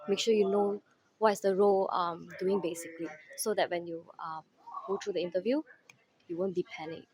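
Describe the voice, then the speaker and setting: A woman from Malaysia answering interview questions at an office, pausing often with "um". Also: lowish voice, fairly even intonation, darkish tone. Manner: hesitant, many "um"s. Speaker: interviewee, quality assurance.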